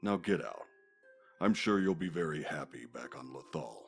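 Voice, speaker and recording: A man playing an imperious, bitter senator in an audio comic, gravelly and stern, speaking formally. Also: rumbling low, expressive, moderate pace, exaggerated voice. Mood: bitter, scornful. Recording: music behind the voice.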